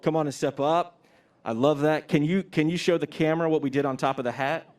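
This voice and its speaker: A man hosting a live-stream pre-ceremony show outdoors, interviewing graduates with energetic, joking questions he often repeats. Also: a little high, a little fast.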